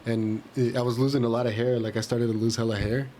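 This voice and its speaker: A man chatting casually on a podcast in slangy, somewhat halting speech. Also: mid-range voice, flat delivery, mellow, a little hiss or hum. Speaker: podcast co-host.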